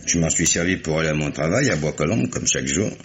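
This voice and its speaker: An actor playing a touchy crime suspect in a French radio mystery, answering with indignant, evasive, sarcastic politeness. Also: male voice, very deep voice, lively intonation, fast talker, husky, heavily acted. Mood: sardonic. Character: male crime suspect, touchy, sardonic former swindler. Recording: faintly noisy old radio recording.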